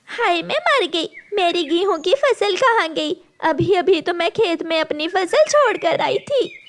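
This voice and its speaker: A woman's voice: very high-pitched, lively intonation, unhurried, pitched above the performer's own voice. A woman voicing a kind, worried mother bird in a cartoon, earnest and plaintive. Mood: distressed.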